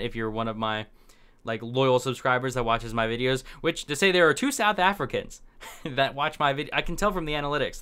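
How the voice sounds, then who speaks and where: Young male vlogger, off-the-cuff rambler, smooth clear tone, medium pitch, desk mic in small room. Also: fairly bright tone. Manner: rambling, talks on the fly with fillers and restarts. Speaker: political commentary vlogger. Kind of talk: unscripted casual commentary.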